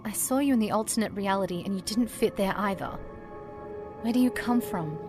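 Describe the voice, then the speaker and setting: A woman's voice: mid-range voice, fairly quick, over music, natural voice. A woman playing a sceptical, questioning adventurer's companion in a scripted audio drama. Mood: sceptical, confused.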